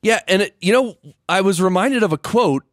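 A man's voice: high voice, lively intonation, moderate pace, dry recording, studio quality. A man hosting a podcast interview, joking and enthusiastic in casual chat. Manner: jokey, enthusiastic. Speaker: podcast host.

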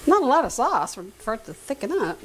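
A middle-aged woman with a slightly high voice talks her way through a recipe in her own kitchen. Her speech is relaxed and chatty. A camera on a tripod across the room picks her up, with a faint clatter of pots and dishes around her. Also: fairly quick, noisy background. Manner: chatty, talks to a grandchild in a high sing-song voice. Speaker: home cook and show regular. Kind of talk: casual cooking vlog chat. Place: home kitchen, indoors.

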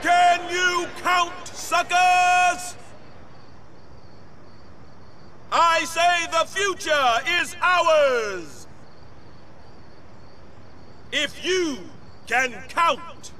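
An actor playing a charismatic gang leader, giving a rousing, declamatory speech in a video game cutscene. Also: male voice, extremely high pitch, steady tone, bright, forceful tone, heavily acted. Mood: rousing, exultant. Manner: slow, declamatory, drawn-out emphatic phrases. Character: charismatic, commanding male gang leader rallying a crowd.

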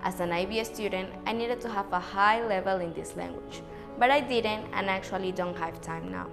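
A woman's voice: mid-range voice, normal speed, gravelly, over music. A young woman from Ecuador giving an earnest, recited student testimonial. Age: young adult. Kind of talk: prepared testimonial. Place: bright indoor studio backdrop.

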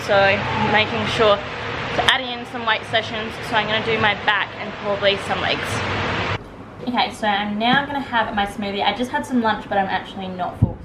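A young Australian woman talks straight into a handheld camera, rambling cheerfully through her day with plenty of fillers. Her voice sits at a middle pitch for a woman. Steady background noise runs under the whole recording. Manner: chatty, upbeat, rambling with fillers. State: out of breath after a workout. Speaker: vlogger. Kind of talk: casual vlog chat. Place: home kitchen and bedroom, also a gym. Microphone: handheld camera at arm's length.

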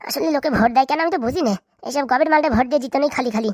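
A woman's voice: high voice, racing, no room sound, clean studio sound, close to an everyday voice. A woman voicing a scornful, nagging wife in a comic cartoon, scolding with sarcasm.

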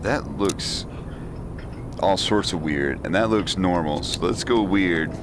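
A deep-voiced young man commentating as he plays a horror game, talking himself through the scares with jittery nervous remarks. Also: takes it slowly, background noise. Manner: jittery, talks himself through scares, yelps high when startled. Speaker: let's play gamer.

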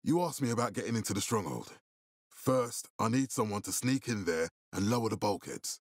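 A man voicing a grave, calculating militia commander in a video game, with a husky, authoritative and deliberate delivery. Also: on the low side, lively intonation, no echo, studio quality. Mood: controlled, serious. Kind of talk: video game character dialogue.

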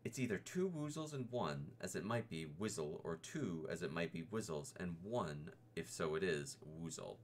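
A slow, thoughtful teddy bear, as a man reads him in a storybook, earnest and gravely pompous. His deep voice rises and falls with lively swings, and a faint hiss sits behind him. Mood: ponderous. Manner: ponderous and earnest, mock-wise. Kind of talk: storybook reading.

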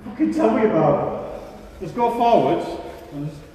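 A man's voice: high-pitched, expressive, normal speed, warm tone, far from the mic. A man chatting casually on a walking tour, joking and swearing in a blokey way. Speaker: paranormal investigator. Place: inside a lighthouse stairwell and museum. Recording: echoey stairwell, off-mic, noisy handheld camera.

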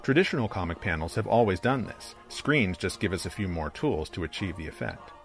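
A man narrating a scripted academic video essay in a measured, articulate, explanatory way. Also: sits low, animated. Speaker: presenter, digital comics creator. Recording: music under the voice. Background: music.

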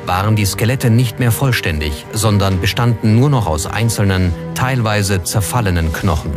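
A man's voice: lowish voice, lively intonation, moderate pace. A man reading scripted documentary narration as the narrator. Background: prominent background music.

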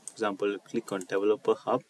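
Low-pitched man giving a halting coding tutorial. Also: little pitch movement, darkish tone. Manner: halting, repeats and rephrases phrases. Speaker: tutorial presenter. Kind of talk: screen-recorded coding tutorial, unscripted walkthrough.

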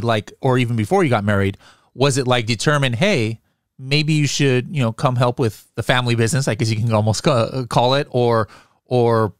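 A chatty, upbeat man hosts a casual interview podcast into a studio microphone. The sound is close and dry with no room echo. His pitch is middle for a man. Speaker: podcast host. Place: home studio with headset and boom mic. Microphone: studio microphone on boom arm, headphones.

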